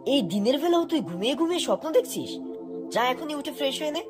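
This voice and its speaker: A brisk household relative played by a woman scolds in a cartoon. Her voice is high and very animated, with music playing clearly behind her. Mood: scolding concern. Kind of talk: cartoon voice acting.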